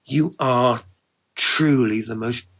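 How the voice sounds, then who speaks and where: A man voicing an earnest, tender, awestruck suitor with a soft, halting delivery in a narrated romance story. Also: mid-range voice.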